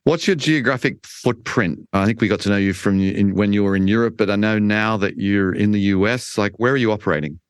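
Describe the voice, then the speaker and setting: A man hosting an interview podcast, introducing his guest in a measured, friendly way and listing what they discuss. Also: lowish voice, clean tone, no room sound, studio quality. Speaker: podcast host.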